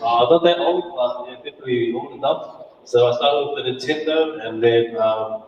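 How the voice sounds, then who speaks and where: A man's voice: medium pitch, moderate pace, darkish tone, muffled recording. A man gives a casual, chatty talk as a guest speaker, joking about himself. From New Zealand. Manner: chatty, laughing, self-mocking. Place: event hall. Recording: distant, echoey hall with some noise.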